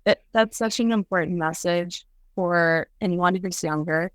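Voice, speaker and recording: A woman's voice: lowish voice, unhurried, dry recording, studio quality. A woman hosting a podcast, chatting casually and peppering her speech with "like" and the odd swear. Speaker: podcast host.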